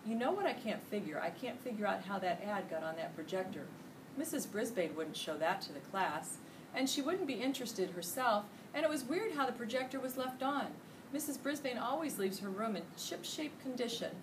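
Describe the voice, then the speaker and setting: A woman's voice: a little high, big pitch swings, moderate pace. A woman voicing a jolly male night janitor from a children's storybook, enthusiastic, colloquial and chatty. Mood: puzzled, chatty. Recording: noisy room, distant microphone.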